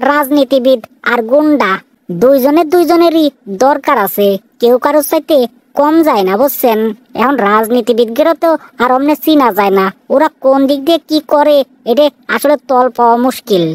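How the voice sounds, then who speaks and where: A woman plays a deferential cartoon policewoman with a Bangladeshi accent. Her voice is high and dryly recorded, chatty and inquisitive as she addresses her superior respectfully. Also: dry recording, close to an everyday voice. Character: deferential, inquisitive single female police sub-inspector. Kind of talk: cartoon dialogue.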